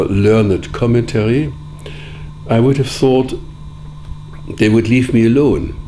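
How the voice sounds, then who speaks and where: A man whose first language is Sorbian, answering interview questions in a measured, deliberate way with frequent pauses. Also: fairly low pitch, a little mellow, slight background noise. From Germany. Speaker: interviewee, editor of philosophical writings.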